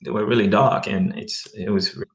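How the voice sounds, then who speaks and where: A man talking casually to his viewers, rambling and often checking in with "okay" while reading web pages aloud slowly. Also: fairly low pitch, steady tone. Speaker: vlogger. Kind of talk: casual talk to camera.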